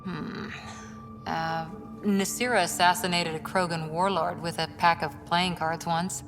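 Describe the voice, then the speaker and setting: An actress playing a young, steady, matter-of-fact ex-commando woman in a video game. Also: unhurried, music in the background. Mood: dry humor. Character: young female ex-commando soldier, dry and steady. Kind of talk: video game character dialogue. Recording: long reverb, faint rumbling background noise.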